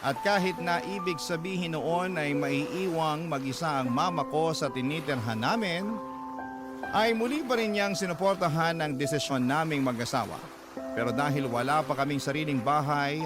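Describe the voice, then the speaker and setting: Filipino man narrating over music. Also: on the high side. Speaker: radio drama narrator reading a letter in first person. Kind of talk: dramatic scripted narration. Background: music bed.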